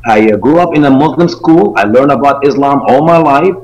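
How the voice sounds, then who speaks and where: A man's voice: medium pitch, a little fast, darkish tone. A man from Egypt with an Egyptian accent, a native Arabic speaker, explaining things earnestly and emphatically as an interview guest. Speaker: interview guest, evangelical ministry founder. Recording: dull lo-fi call audio.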